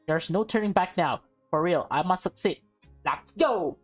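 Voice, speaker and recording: A woman voicing a nervous teenage boy disguised as a polite maid in a visual novel, halting and overly formal. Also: fairly low pitch, lively intonation, husky, faint music behind. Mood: secretive, determined. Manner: halting, stammering, overly formal when covering up. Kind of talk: visual novel dialogue read in character.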